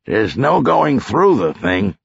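A man voicing a brash, gruff police boss with a commanding, sardonic manner in an audiobook. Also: medium pitch, big pitch swings, slow, no echo, highs cut off, pitched above the performer's own voice, heavily acted.

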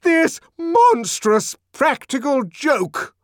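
Guy doing a pompous middle-aged director for an audiobook, majestic n indignant, big swooping pitch. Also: very animated, unhurried, dry recording, clean studio sound. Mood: outraged. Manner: declamatory, grandiose.

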